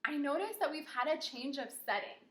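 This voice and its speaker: Female kindergarten teacher, expressive read-aloud with encouraging questions, higher-pitched and bright, roomy echo. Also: fairly quick. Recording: echoey room.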